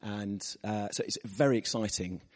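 A preacher with a hoarse, husky voice, giving a chatty sermon with personal stories to a church audience. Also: male voice, on the low side, normal speed, a little mellow. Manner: chatty, storytelling, asks the audience. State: hoarse from losing his voice. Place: church hall. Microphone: microphone. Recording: reverberant church hall.

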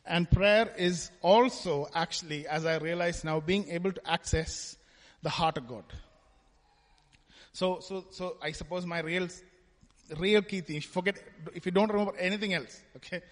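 Man, high voice, giving a chatty church testimony, echoing in a big hall. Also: moderate pace. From Kerala, India. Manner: conversational, tags lines with "right?". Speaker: church speaker. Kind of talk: personal testimony talk at church. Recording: long hall reverb.

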